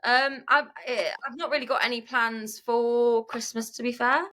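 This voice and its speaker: A woman's voice: on the high side, fairly even intonation, normal speed, a bit bright, slight background noise. Hesitant woman, podcast guest. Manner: hesitant, giggly. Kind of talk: casual chat.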